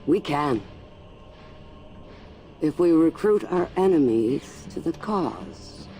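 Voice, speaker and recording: An actor playing a cold, zealous warlord master in a video game cinematic, speaking in a deliberate, solemn and commanding way. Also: male voice, on the high side, sing-song, dark tone, soft background music, full character voice. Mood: grim conviction.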